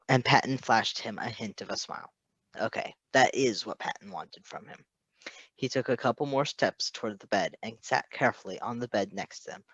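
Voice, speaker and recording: Male story narrator, mid pitch, expressive reading, dry close recording. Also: husky, no room sound. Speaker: podfic reader.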